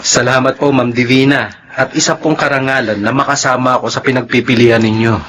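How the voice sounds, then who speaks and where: A man's voice: mid-range voice, steady tone, fairly quick, fairly bright tone, lightly acted. A Filipino man acting a decent, flustered supervisor in a radio drama. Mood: grateful. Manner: flustered, protesting. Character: decent, flustered male supervisor resisting advances. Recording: noisy ambience behind the voice.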